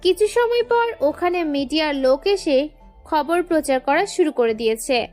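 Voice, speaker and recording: A woman's voice: high voice, animated, moderate pace, a little mellow, soft background music. A woman reading scripted story narration as the narrator of an audio drama.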